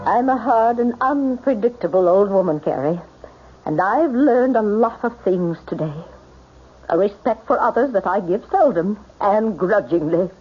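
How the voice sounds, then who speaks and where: A hard old matron with a husky voice and an imperious, blunt delivery. She is proud and sparing with respect, in a 1970s radio drama with dull, band-limited sound. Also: mid-range voice, expressive, normal speed. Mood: frank, softened. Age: older.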